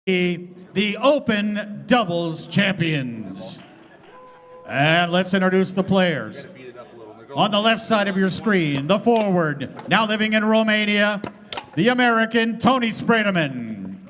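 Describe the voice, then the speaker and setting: A man making slow, drawn-out formal introductions of the players before a match. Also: high-pitched, big pitch swings, fairly bright tone.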